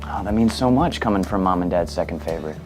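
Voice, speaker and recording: A man's voice: deep voice, lively intonation, fast talker, music in the background. A man acting a sardonic brother in a scripted radio ad, teasing dryly. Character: sardonic cancer survivor teasing his brother. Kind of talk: scripted radio advertisement dialogue.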